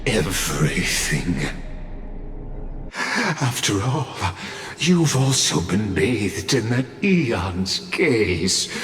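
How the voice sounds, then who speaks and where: An actor playing a calm, scheming scholar villain in a game cutscene, revealing his plan in a measured, knowing way. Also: low-pitched, exaggerated voice. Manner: measured, knowing, theatrical revelation. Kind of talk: video game cutscene voice acting. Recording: roomy echo over game music.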